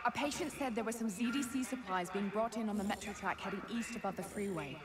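An actress voicing a concerned, practical hospital doctor in a video game, earnest and businesslike. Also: female voice, mid-range voice, fast, lightly acted. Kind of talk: video game cutscene acting.

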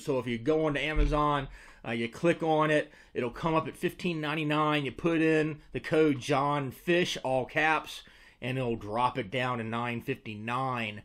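An American man in his forties with a medium-pitched voice talks casually to camera as a review host. His delivery is informal and conversational. He is recorded indoors in a small room on a desk microphone. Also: a bit bright. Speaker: host, fishing lure reviewer. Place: small indoor room with tackle-covered walls. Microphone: desk microphone beside him.

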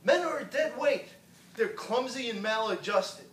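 A man's voice: very high-pitched, expressive, a little slow, slight background noise. An actor playing a dismissive, sarcastic middle-aged lawyer and father on a theater stage. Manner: curt, sarcastic. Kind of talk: stage play acting. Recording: roomy stage sound from a distance.

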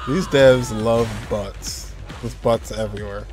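A man's voice: medium pitch, fairly even intonation, a little slow. A man in his twenties streaming, making casual, muttered short remarks as he reacts to a game's story. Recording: game music behind the voice.

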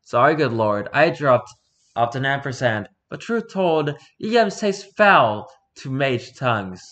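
A man's voice: medium pitch, lively intonation, unhurried, fairly bright tone. A man voicing noble characters in a stage play, speaking in a theatrical, mock-Shakespearean way.

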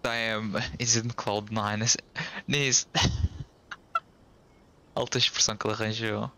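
A man's voice: on the low side, flat delivery, slow, bright, forceful tone. A young man with a Portuguese accent chatting casually as he plays through a game, reacting aloud in slang. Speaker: game streamer. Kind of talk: casual let's-play commentary.

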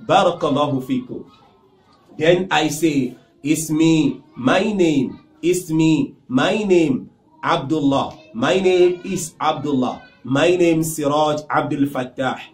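Lively Nigerian man teaching phrases. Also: fairly high pitch, takes it slowly, roomy sound, faint music behind. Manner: lively, repeats phrases, gestures and smiles. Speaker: Arabic teacher. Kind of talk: language lesson to camera. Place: small indoor studio with whiteboard.

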